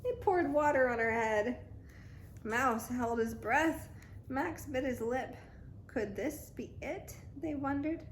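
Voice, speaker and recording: Slow, dramatic woman reading a storybook. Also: sits high, a little hiss or hum, low-quality recording. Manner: dramatic, child-directed. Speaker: mother reading a picture book aloud. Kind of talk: expressive storytime read-aloud for a toddler.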